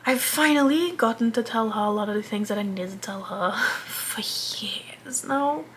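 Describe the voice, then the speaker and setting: A woman's voice: on the high side, normal speed, slight background noise, poor audio. A woman in her twenties chatting casually while doing her makeup, rambling and interrupting herself, sometimes dropping into a whisper. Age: 20s. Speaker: vlogger.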